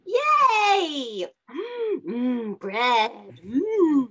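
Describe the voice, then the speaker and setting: An innocent, hungry little boy, acted by a woman in a radio drama. The speech is slow and childlike, with a very lively high pitch. It sounds slightly lo-fi. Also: full character voice. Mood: eager. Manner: childlike, halting, innocent questioning. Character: eager, curious little boy, hungry for cornbread. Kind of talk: radio drama read-through. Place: home room, remote video call. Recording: dull lo-fi video call.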